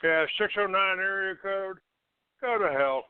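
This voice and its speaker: A man hosting a call-in show, ranting off the cuff in a halting, accusatory and combative manner. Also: high-pitched, clear, resonant tone, dry recording. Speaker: internet call-in show host. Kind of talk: unscripted talk show rant. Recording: narrow, tinny phone-like line.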